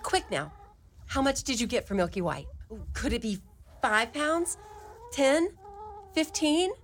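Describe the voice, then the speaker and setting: A woman's voice: fairly high pitch, big pitch swings, unhurried, slight background noise. A woman playing a long-suffering, scolding widowed mother in a fairy-tale radio play, lamenting theatrically. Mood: anxious, eager.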